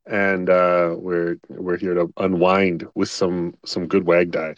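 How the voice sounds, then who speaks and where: A man co-hosting a casual podcast chat, rambling in quick bursts with lots of fillers. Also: sits low, flat delivery, no echo, highs cut off. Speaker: co-host.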